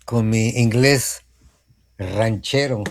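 A man hosting a morning radio show in a studio, chatty, spelling out phone numbers slowly. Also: fairly low pitch, a little hiss or hum. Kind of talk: morning radio talk and sponsor reads.

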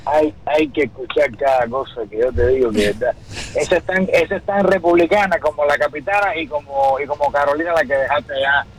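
Male phone-in caller, high-pitched, delivers political opinion bluntly and repeats for emphasis. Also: brisk, slight background noise, poor audio.

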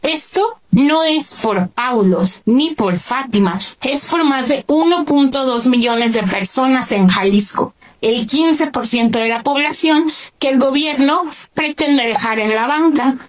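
Female activist, higher voice, Mexican accent, delivering a prepared advocacy statement. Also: animated, measured pace, low-quality recording.

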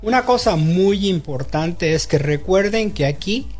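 A man's voice: high voice, lively intonation, a little slow, a little mellow, soft background music. A Mexican man co-hosting a radio talk show, friendly and explaining.

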